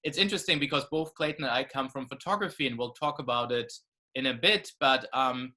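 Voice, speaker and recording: A middle-aged man from Germany talking conversationally as co-host on a video call, piecing his sentences together haltingly. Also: medium pitch, pushed and bright. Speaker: co-host, author. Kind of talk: conversational talk in an online event.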